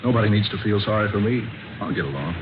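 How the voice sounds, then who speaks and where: A man plays a breezy down-on-his-luck fellow from a vintage radio play, with a deep voice that swings freely up and down. He talks in friendly, folksy slang and wisecracks. The old recording is muffled and plainly noisy. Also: lively intonation, quick pace, natural voice. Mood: wry, resigned. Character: broke, jobless, friendly wisecracking man sleeping on park bench. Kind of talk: 1940s radio drama acting.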